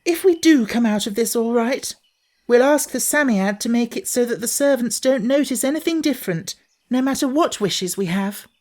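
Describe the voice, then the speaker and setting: A woman's voice: on the high side, expressive, normal speed, darkish tone, pitched above the performer's own voice, close to an everyday voice. A woman voicing an anxious young girl in a children's storybook, earnest and careful.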